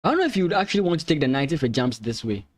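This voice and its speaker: A man's voice: fairly high pitch, big pitch swings, racing. A man from Ghana, a native Ga speaker, commentating chess lines on a live stream, thinking aloud with hesitant restarts and easy laughter.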